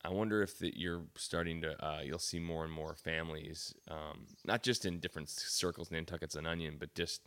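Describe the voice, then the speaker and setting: A man hosting an interview, speaking in a halting, friendly way with many restarts. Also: very deep voice, steady tone, a bit bright. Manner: halting, restarts sentences, laughs easily. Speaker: interviewer, host. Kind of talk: casual interview chat.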